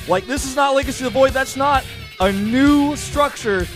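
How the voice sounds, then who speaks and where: A young man casting a strategy game live, excitable and rising sharply when the fighting gets big. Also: extremely high pitch, normal speed. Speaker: esports caster. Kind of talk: play-by-play commentary. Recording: commentary over loud game music.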